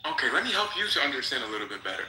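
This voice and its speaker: Sharp, echoey, confrontational male debater. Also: medium pitch, very animated, racing, a little hiss or hum. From Egypt. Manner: confrontational, repeats challenges, interrupting. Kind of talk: heated live political debate. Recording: echoey, over-bright live stream audio.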